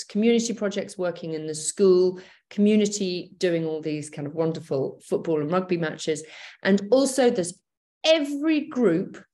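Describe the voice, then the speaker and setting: A woman's voice: on the low side, darkish tone. Chatty woman on a video call. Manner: chatty, enthusiastic, many fillers and restarts. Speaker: gap year program briefer. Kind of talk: online briefing. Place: home office, indoors.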